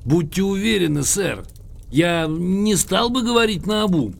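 A pompous, litigious old gentleman in an audio drama. His voice sits rather high and swings wildly up and down, with a gravelly creak. He is boastful, excitable and theatrical in everything he says. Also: a little slow, exaggerated voice. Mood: insistent. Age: older. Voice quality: creaky, husky.

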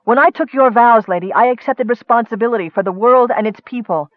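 A man's voice: high-pitched, big pitch swings, normal speed, no echo, phone-quality sound. Man acting an anguished young cleric, raised impassioned voice. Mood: anguished defiance. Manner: earnest, emphatic, protesting. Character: anguished male young cleric, defiant and sincere.

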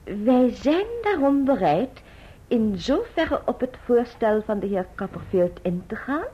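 Prim maiden aunt, strict on propriety, highish husky voice, lively intonation, period radio play. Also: female voice, a little slow, full character voice. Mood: prim, businesslike. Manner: stiff, precise, ceremonious. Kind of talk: radio drama acting. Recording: muffled, dull old radio recording.

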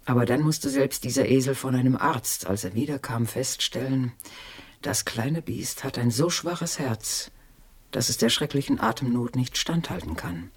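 An actress playing a dead wife's ghost in a radio play, dry, sardonic and reproachful, with a husky voice. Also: female voice, rumbling low, moderate pace, darkish tone, heavily acted. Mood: bitter.

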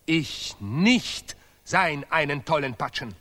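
An actor playing a vain, touchy Nobel laureate artist in a stylized radio play, declaiming emphatically in deliberately broken, repetitive German. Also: male voice, a little high, lively intonation, sharp tone, full character voice. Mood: quarrelsome, heated. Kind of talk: stylized avant-garde radio play acting.

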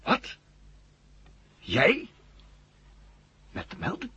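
A very husky, uneasy astronaut. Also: male voice, high-pitched, wildly swooping pitch, bright, forceful tone, dry recording, heavily acted. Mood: guarded. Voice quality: husky, raspy. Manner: halting, intense, repeats words. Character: male astronaut, hypnotised traitor, earnest and uneasy. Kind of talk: 1970 Dutch radio play acting. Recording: dull, dry old radio recording.

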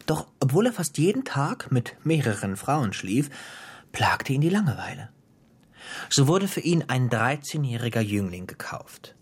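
An actor playing a menacing, sadistically playful gangster boss in a radio drama, talking in a taunting, theatrical way. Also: male voice, medium pitch, sing-song, unhurried, husky, heavily acted. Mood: relishing storyteller. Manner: taunting, theatrical, toying with the listener. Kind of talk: German radio drama acting.

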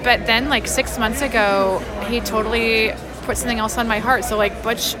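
A woman's voice: a little high, steady tone, normal speed. A woman being interviewed at a busy convention, chattily telling a personal story and acting out bits of dialogue. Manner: chatty, acts out quoted dialogue. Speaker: interview guest, editor in training. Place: busy public convention space. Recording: busy public space with loud music, chatter. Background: music and crowd chatter.

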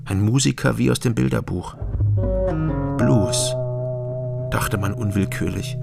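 Radio crime drama lead: wry male ex-cop turned private eye, creaky voice, music underneath. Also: fairly low pitch, unhurried. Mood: matter-of-fact, tense. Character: wry East Berlin private detective, ex-policeman. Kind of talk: German radio crime drama, narration and dialogue.

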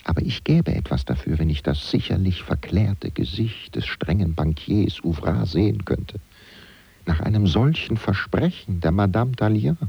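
An actor playing a sly eavesdropping intriguer in a German radio drama, confiding in a hushed, sardonic way. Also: male voice, sits low, lively intonation, normal speed, lo-fi sound, exaggerated voice. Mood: gloating, secretive. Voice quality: gravelly, husky.

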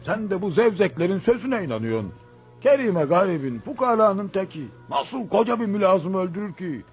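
A man playing a respected old village uncle in a radio drama, husky and indignant, stubbornly protective. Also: sits high, very animated, normal speed, faint music behind. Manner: exclaiming, indignant, dismissive.

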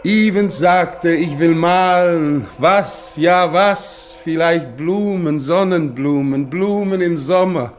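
Radio drama lead: exhausted polar explorer, solemn, incantatory, unhurried delivery, faint background noise. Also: male voice, fairly high pitch. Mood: fervent, exalted. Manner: halting, brooding, incantatory. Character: exhausted male polar expedition leader, brooding, reminiscing, solemn. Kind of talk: German radio play acting, dramatic monologue.

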